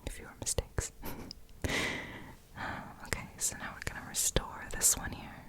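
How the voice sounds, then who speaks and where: Casting: young female ASMR host, hushed whisper, slow relaxed delivery, thinks aloud. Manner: soft, unhurried whisper, musing aloud and laughing at her own mistakes. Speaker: ASMR creator. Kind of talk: whispered ASMR while doing language practice.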